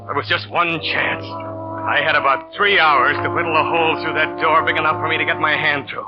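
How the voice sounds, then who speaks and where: A man acting out a vengeful, desperate schemer telling his story in hard-boiled 1940s radio suspense style. His voice sits fairly high for a man, and music runs under the dull old recording. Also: steady tone, brisk, lightly acted. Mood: desperate, tense. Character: scheming, vengeful male lover in a murder plot, bitter and desperate.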